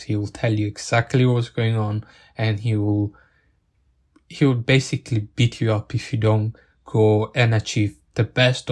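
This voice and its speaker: A young man who is not a native English speaker gives an earnest thank-you monologue, halting and searching for words as he goes. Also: fairly low pitch, a little mellow. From outside the UK. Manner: earnest and grateful.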